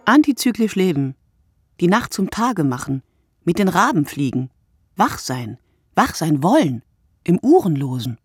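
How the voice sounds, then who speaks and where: Reflective poetic radio play narrator, bit low and husky, declaims slowly and deliberately. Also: female voice, very animated, faint music behind, heavily acted. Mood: emphatic, musing. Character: reflective, poetic female narrator musing on sleep and night.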